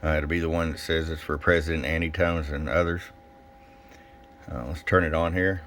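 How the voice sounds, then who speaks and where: A man's voice: very deep voice, level tone, normal speed, noisy background. A plain-spoken, folksy man giving a casual, off-the-cuff hands-on product demo at a workbench as a shop technician. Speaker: radio shop technician and presenter. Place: indoors at a radio workbench.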